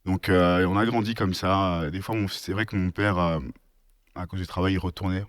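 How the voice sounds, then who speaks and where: A man's voice: low-pitched, steady tone, moderate pace, no room sound, clean studio sound. A young man in his twenties, from Congo and raised in Belgium, sharing his faith testimony hesitantly with many fillers. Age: 20s. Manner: hesitant, many "euh" fillers, laughs shyly. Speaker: podcast guest. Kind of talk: personal testimony in casual chat.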